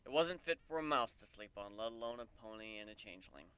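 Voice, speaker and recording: A man narrates fiction as a dramatic reading. His voice is bright and clear, at a middling pitch, recorded close and dry. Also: expressive, a little fast, no room sound. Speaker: narrator, fan fiction reader.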